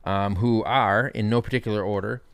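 A man's voice: lowish voice, steady tone, normal speed, gravelly, clean tone, dry recording. A man in his thirties hosting a casual podcast with his co-host, teasing and sarcastic, swearing freely. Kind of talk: casual banter.

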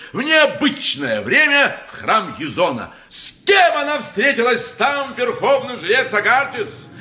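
An actor playing an impassioned, indignant lawyer in an ancient court, declaiming pompously in a theatrical radio play style. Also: male voice, high voice, bright, forceful tone, exaggerated voice. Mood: accusing.

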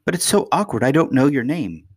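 A man's voice: mid-range voice, very animated, fairly quick, husky, voice pushed up. A man voicing an affectionate little princess in a storybook, a young girl with an earnest, polite, childlike manner. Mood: curious.

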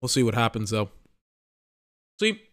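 A man's voice: medium pitch, very animated, a little slow, a bit bright. A young man hosting a sports podcast, rambling and emphatic in casual commentary, restarting phrases and swearing, a little tired.

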